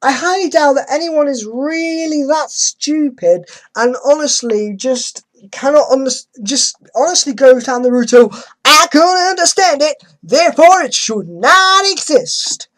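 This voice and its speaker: A woman's voice: high-pitched, lively intonation, a little slow, a bit bright, rough recording. A woman hosting a dramatic reading, commenting with sarcastic, exasperated emphasis. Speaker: host reading an article aloud with commentary.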